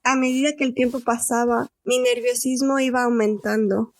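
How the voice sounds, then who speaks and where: A woman's voice: fairly high pitch, flat delivery, normal speed, no echo, close to an everyday voice. A woman playing a scared, determined young captive, narrating an audio drama in the first person. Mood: anxious, secretive.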